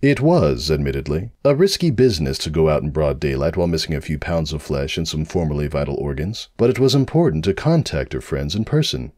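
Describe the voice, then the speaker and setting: A man's voice: a bass voice, big pitch swings, fairly quick, few pauses, darkish tone. A man narrating a comic story as a dramatic reader. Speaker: narrator of fan fiction readings. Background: music.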